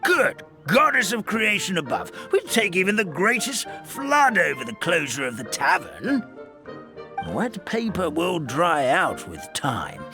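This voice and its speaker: A man voicing a kindly, forgetful elderly forest creature in a video game, telling stories in a halting, rambling, theatrical way. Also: high voice, huge pitch swings, heavily acted. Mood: alarmed, earnest. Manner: halting, rambling, theatrical storytelling with stammered repeats. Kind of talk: video game character storytelling. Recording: studio voice over background music.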